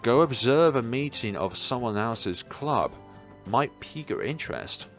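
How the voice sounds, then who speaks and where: A man reading the part of a relaxed, methodical male schoolteacher in a visual novel. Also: medium pitch, measured pace, soft background music. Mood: matter-of-fact. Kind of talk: visual novel dialogue read aloud.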